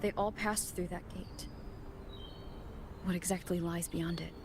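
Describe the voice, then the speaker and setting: A woman's voice: lowish voice, fairly even intonation, measured pace, background noise. A woman playing a serious, focused adventurer in a video game, with a subdued, matter-of-fact delivery. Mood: uneasy, questioning. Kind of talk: understated video game voice acting. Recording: loud game music behind.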